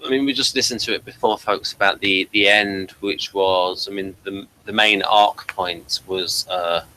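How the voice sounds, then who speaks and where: A man with a fairly low, bright and forward voice hosts a casual review chat. He speaks hesitantly, stopping and starting and doubling back on his words as he goes. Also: fairly even intonation, poor audio. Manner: hesitant, halting, repeats words. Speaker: host of a review show.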